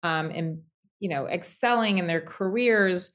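A woman's voice: fairly low pitch, unhurried. A woman hosting a podcast, asking questions and thanking her guest, with frequent restarts. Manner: appreciative, hesitant with restarts. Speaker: podcast host. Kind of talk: interview questions.

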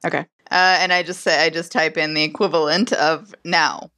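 Bright, giggly woman player. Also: sits low, fairly even intonation, moderate pace. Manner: giggly, playful. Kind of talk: playing a tabletop game.

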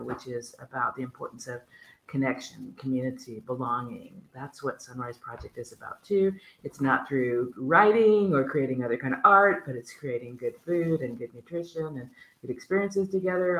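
A woman's voice: rumbling low, a bit bright, roomy sound, poor audio. A woman hosting a casual talk show interview who laughs often.

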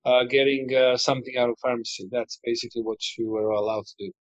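Halting man on a muffled call. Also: medium pitch, fairly even intonation. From Montenegro. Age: 40s. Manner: halting, many fillers and restarts. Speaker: basketball club figure, former player. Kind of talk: remote interview.

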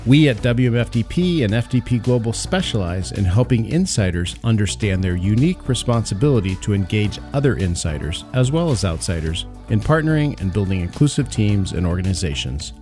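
A man's voice: on the low side, big pitch swings, brisk, hardly any pauses, a little mellow, faint music behind. A man hosting a podcast, opening the show and inviting guests to speak in a loose, conversational way. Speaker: podcast host. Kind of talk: podcast hosting, intro and moderating.

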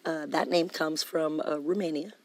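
Female guest musician, husky, lively talker full of anecdotes. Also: sits low, moderate pace, no room sound. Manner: animated, laughing, says "man" often. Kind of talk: casual interview storytelling.

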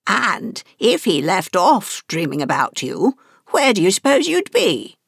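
A boastful, excitable little man from a storybook, acted by a woman, contradicts with emphatic relish. The voice is husky and leaps widely in pitch. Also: unhurried, no echo, clean studio sound, full character voice. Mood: triumphant. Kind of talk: storybook character reading.

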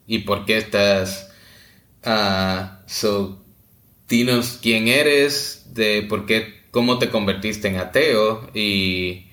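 A man co-hosting a podcast, talking casually with many pauses, fillers and restarts. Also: fairly low pitch, fairly bright tone, echoey room. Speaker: podcast co-host.

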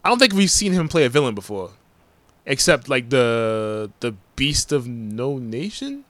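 A man's voice: medium pitch, sing-song, a little slow, a bit bright, no echo. A man in his forties hosting a casual podcast chat, rambling through news items with lots of hesitant ums. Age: 40s. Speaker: podcast host.

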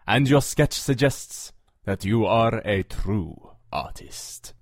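A man playing a suave, commanding vampire in a radio drama, speaking formally with measured, hypnotic diction and a husky voice. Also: lowish voice, expressive, no echo, clean studio sound, full character voice. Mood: smooth, flattering. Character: suave, commanding male vampire, seductive and menacing.

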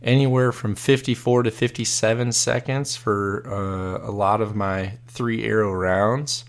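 A hoarse man hosting a live podcast, chatting casually and rambling with many fillers and restarts. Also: lowish voice, steady tone, unhurried. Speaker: podcast host.

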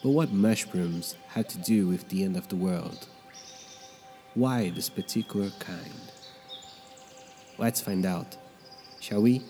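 A man whose first language is Portuguese, hosting his own podcast from his bedroom with a reflective, measured scripted narration. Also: sits low, dark tone, in a large hall, soft background music. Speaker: podcast host. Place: bedroom in a noisy neighborhood.

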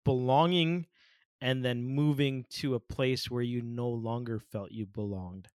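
Brief: male interviewer, mid-pitched, measured and probing, dry close sound. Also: steady tone, a little mellow, clear, resonant tone, dry recording. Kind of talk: interview questions.

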